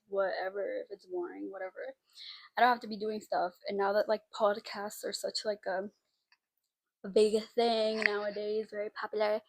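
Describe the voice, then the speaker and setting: A woman's voice: mid-range voice, dry recording. Rambling young woman, dry close sound. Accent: mix of Costa Rican and Spanish from Spain. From Costa Rica. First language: Spanish. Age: young adult. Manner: rambling, self-deprecating, giggly, lots of fillers. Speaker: vlogger. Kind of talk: casual chat to camera. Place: home room. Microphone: camera mic.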